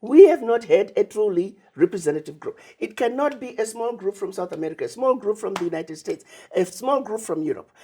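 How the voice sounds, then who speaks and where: A woman's voice: fairly low pitch, dark tone, slightly rough. A woman from Zimbabwe, an ambassador speaking as a guest in an interview, telling her story with emphasis. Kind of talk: conversational interview.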